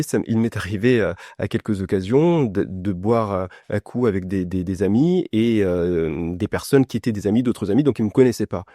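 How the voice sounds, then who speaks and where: A man's voice: on the low side, mellow, dry recording, clean studio sound. A man from France answering as a podcast guest in a conversational, personal way, often restarting and repeating words. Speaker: podcast guest, essayist and journalist.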